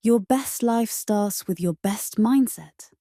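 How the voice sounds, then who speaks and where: Slow, mellow woman reading an ad. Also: mid-range voice, no echo, studio quality. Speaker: ad voice-over. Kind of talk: scripted advertisement.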